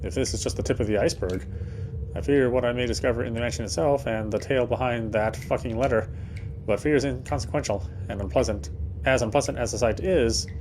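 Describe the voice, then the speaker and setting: A man's voice: medium pitch, fairly quick, darkish tone, a little hiss or hum. A man reading first-person game narration aloud in a dramatic storytelling style, now and then stumbling over words.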